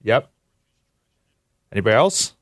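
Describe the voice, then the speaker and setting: A man's voice: fairly low pitch, big pitch swings, unhurried, dry recording. A man appearing as a business guest on live studio radio, explaining things informally and putting questions to the room. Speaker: commercial lending executive, radio guest.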